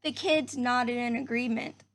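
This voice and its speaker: A woman's voice: on the high side, fairly even intonation, a little slow. A woman reading a picture book aloud to young children like a teacher, speaking to them directly in simple phrases.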